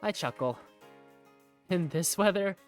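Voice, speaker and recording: A woman's voice: on the low side, lively intonation, a little slow, faint music behind. A woman narrating an amateur visual novel in the first person as its lead character, with a wry, conversational manner.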